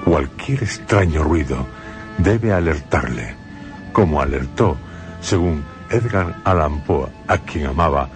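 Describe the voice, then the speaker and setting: A middle-aged man introduces a horror tale on radio with grave, ominous narration. His voice sits extremely low and he takes his time with each phrase. Music plays clearly beneath him. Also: expressive. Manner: slow, grave, ominous storytelling. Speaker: radio drama host. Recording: music under the voice.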